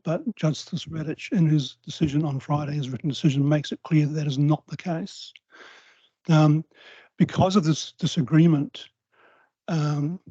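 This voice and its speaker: Quiet-spoken New Zealand man, mellow voice. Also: a little high, no echo. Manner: measured, precise, quiet-spoken. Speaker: public forum speaker. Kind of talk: formal legal presentation. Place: council chamber. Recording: slightly dull, dry council meeting recording.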